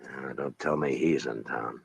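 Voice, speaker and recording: An American actor playing a weary, watchful frontier marshal in radio drama, measured and plain-spoken. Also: male voice, rumbling low, steady tone, gravelly, husky, no echo, full character voice. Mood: guarded. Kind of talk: 1950s radio drama acting. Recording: old radio recording, dull and hissy.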